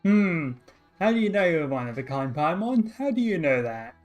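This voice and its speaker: A man streaming his reaction to a game in a casual way. His voice is high for a male, with lively, varied intonation and faint music behind him. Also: normal speed.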